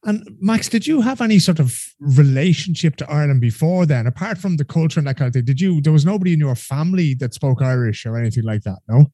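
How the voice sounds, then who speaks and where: A male podcast host asks a guest friendly, easygoing questions in a mellow, warm voice. The sound is dry and close, with no room echo. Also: a little high, lively intonation, fast talker, hardly any pauses, slightly rough. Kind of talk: interviewing a guest.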